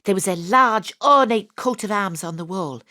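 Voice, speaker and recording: A woman's voice: medium pitch, lively intonation, normal speed, pushed and bright, no room sound, studio quality. An actress with a Welsh accent playing a wry, sporty amateur detective who narrates her own story with self-mocking humour. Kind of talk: first-person radio drama narration. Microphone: home studio mic.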